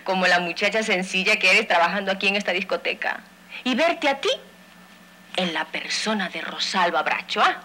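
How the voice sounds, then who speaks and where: A woman's voice: mid-range voice, animated, normal speed, sharp tone, slight background noise. An actress playing a mocking, confrontational woman in a Spanish-language telenovela. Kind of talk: telenovela acting.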